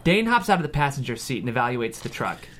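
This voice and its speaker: A man's voice: medium pitch, sing-song, quick pace, a bit bright. A man reading scripted screenplay stage directions as the narrator of a table read.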